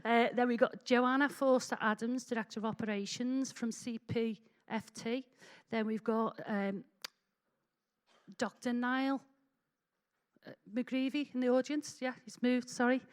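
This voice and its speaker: A woman's voice: a little high, steady tone, measured pace. A middle-aged woman with a Liverpool accent chairing a public meeting in a hall, chatty and informal as she runs through housekeeping.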